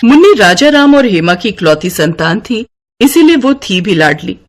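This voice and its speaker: Animated woman storyteller. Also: mid-range voice, moderate pace. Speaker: story narrator. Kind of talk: scripted storytelling narration for a moral cartoon.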